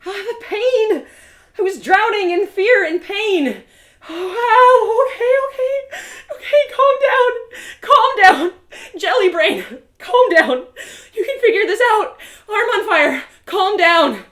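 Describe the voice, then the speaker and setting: A woman's voice: extremely high pitch, animated, takes it slowly, a bit bright, voice pushed up, exaggerated voice. A woman playing a plucky, easily panicked young heroine in an audio drama, talking to herself in emotional exclamations. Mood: tearful, frantic. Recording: roomy, echoey sound.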